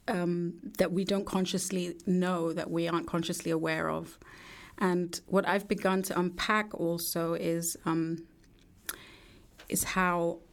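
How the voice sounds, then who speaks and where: A woman, a guest speaking reflectively in a studio interview, pausing thoughtfully. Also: fairly low pitch. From England. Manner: reflective, measured, with thoughtful pauses. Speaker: artist and poet guest.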